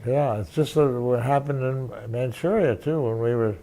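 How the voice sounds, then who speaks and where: An older man, a veteran journalist, recounting memories in an interview, halting and restarting often. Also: mid-range voice, dark tone, low-quality recording. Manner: halting, many restarts and fillers. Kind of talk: reminiscing interview.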